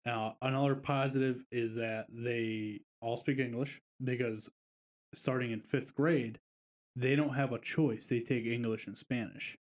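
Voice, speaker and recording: A man's voice: mid-range voice, steady tone, measured pace. A man co-hosting a casual podcast, telling a long, rambling vacation story with plenty of fillers. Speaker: podcast co-host.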